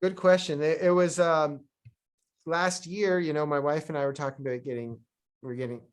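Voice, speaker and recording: A man's voice: a little high, steady tone, moderate pace, clear, resonant tone. A middle-aged man from Canada, a guest talking casually over a video call, rambling and correcting himself with small chuckles. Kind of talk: casual interview conversation. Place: at home abroad, remote call.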